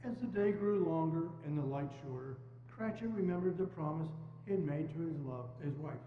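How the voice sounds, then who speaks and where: Male narrator for dramatic reading, lightly high-pitched, reverberant room with background hum. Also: a little fast. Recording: muffled, echoey hall, hum.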